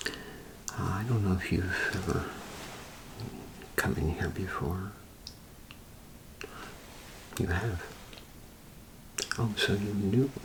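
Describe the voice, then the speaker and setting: ASMR role play: elderly male antique dealer, acted by a man, slow, gravelly, hesitant and courteous, half-whispered. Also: sits low, background noise, heavily acted. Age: older. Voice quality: breathy, half-whispered, creaky. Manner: halting, hesitant, repeats himself, polite. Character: gentle, hesitant old man keeping an antique store.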